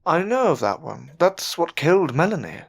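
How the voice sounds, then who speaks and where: A man's voice: on the high side, big pitch swings, moderate pace, gravelly, slightly rough, exaggerated voice. A man playing a self-doubting novice magician, desperate to succeed, in an audio drama, with formal, old-fashioned phrasing. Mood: resolved. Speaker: voice actor in an amateur audio drama.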